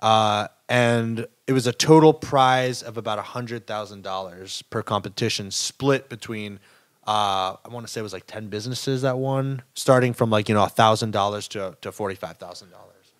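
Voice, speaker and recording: A man's voice: lowish voice, moderate pace. Chatty young man telling a story. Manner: chatty, many "like" and "uh" fillers. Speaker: kombucha company co-founder. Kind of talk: casual podcast storytelling.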